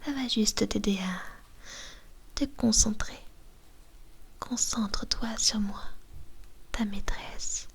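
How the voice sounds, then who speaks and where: A woman's voice: medium pitch, takes it slowly, creaky, pauses often, slightly rough, heavily acted. A woman voicing a possessive, domineering female vampire mistress in an ASMR roleplay, mostly whispering, coaxing and commanding into a binaural microphone.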